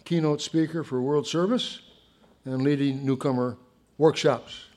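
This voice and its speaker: A man with a slightly higher voice than most reads out a formal introduction for the day's guest speaker. His delivery is measured and deliberate, as if reading from notes. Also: darkish tone. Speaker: meeting chair introducing the speaker.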